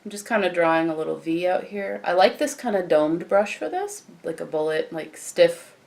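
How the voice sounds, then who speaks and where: A young woman with a croaky morning voice, chatting casually as she walks through a makeup tutorial. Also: fairly low pitch, normal speed. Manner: chatty, relaxed, frequent fillers and restarts. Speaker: beauty vlogger.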